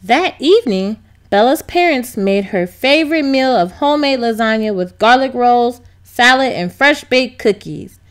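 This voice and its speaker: A woman's voice: fairly high pitch, animated, moderate pace, few pauses. A woman narrating a scripted children's story as a storybook reader.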